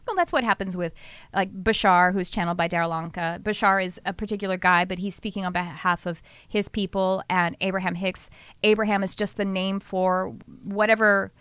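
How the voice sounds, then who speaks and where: A woman with a Texas accent hosting a podcast, talking casually and lively, often laughing and speeding up in bursts. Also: medium pitch, moderate pace, clear, resonant tone, no room sound. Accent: Texan. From Southern US. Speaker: podcast host and channeler. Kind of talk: casual conversational podcast chat.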